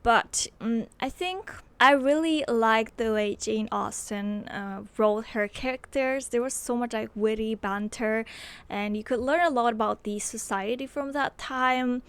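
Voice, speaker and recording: A woman's voice: on the high side, measured pace, fairly bright tone, clean tone. A young woman, chatting enthusiastically about her favorite books and using lots of fillers, close to a handheld mic in a small room. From Poland. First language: Polish. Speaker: book reviewer. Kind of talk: casual book review vlog. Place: small bedroom with bookshelves, indoors. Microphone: handheld microphone close to mouth.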